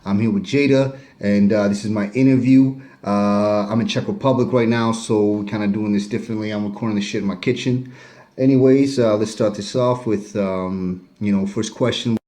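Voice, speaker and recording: A middle-aged man talks in a low, mellow voice. He rambles loosely, with many "you knows" and casual swearing. He speaks from his home kitchen. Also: fairly even intonation, moderate pace. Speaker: rapper interviewee. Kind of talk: casual self-recorded interview.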